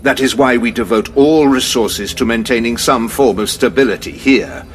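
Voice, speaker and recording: An actor playing a grave male general in an audio drama, briefing in a measured, formal way. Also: medium pitch, slight background noise, close to an everyday voice.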